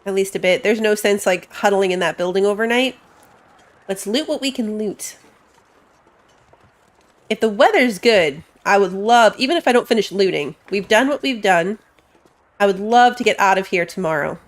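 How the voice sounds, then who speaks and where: A woman's voice: medium pitch, moderate pace, pauses often. A woman giving casual live commentary as she plays a game, chatting and thinking aloud. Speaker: game streamer.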